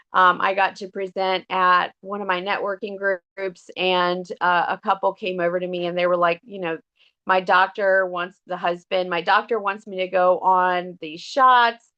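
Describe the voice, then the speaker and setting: A woman's voice: mid-range voice, sharp tone, dull audio. A middle-aged woman with a scratchy voice after an illness, sharing her story on a team call in an earnest, grateful way with many fillers. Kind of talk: casual testimonial on a team call.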